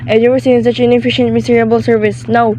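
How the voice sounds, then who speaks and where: A frantic, demanding bedridden wife, acted by a woman in a suspense radio drama. Her voice sits fairly high and is querulous and melodramatic. A faint noise runs behind it. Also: flat delivery, fast, dark tone, natural voice. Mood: irritated. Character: imperious, nervous bedridden invalid wife, frantic and self-pitying.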